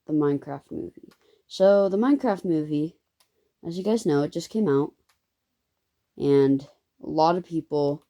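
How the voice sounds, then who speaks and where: An eleven-year-old girl telling a casual story to viewers in a halting, rambling way, her voice occasionally squeaky. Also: warm tone. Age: child, eleven. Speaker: first-time video creator.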